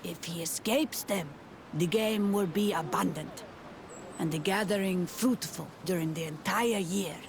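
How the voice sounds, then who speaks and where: A woman's voice: fairly low pitch, unhurried, noisy background. A woman playing a wary, brusque villager of a fantasy island clan in a video game. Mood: proud, instructive.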